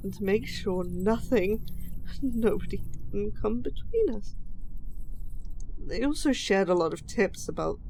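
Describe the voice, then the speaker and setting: Slow, nervous lovesick kidnapper played by a woman. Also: mid-range voice, darkish tone, a little hiss or hum, rough recording. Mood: obsessive, hesitant. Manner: halting, hesitant, flustered and apologetic. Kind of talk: audio roleplay acting, spoken to the listener.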